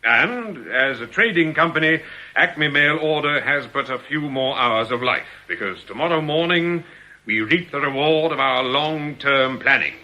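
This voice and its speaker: An actor playing a genial, piously courteous English boss of ex-convict swindlers in a radio drama, suave and measured. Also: male voice, mid-range voice, lively intonation, pushed and bright. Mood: grandly genial. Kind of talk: British radio drama acting. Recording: faintly noisy radio drama recording.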